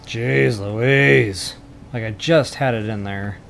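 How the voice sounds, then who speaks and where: A man's voice: mid-range voice, slow, vocal fry, fairly bright tone. Male tutorial host, mid-range voice, casual and unscripted, mildly self-deprecating, thinks aloud while gaming, music behind. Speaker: video game tutorial host. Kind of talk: casual screen-recorded game building tutorial.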